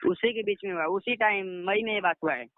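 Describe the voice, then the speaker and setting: A man's voice: high-pitched, brisk, heavy background noise. A young man, recounting events deferentially and politely over the phone. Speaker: interviewee, aspiring singer. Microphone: phone call. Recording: muffled narrowband phone call recording.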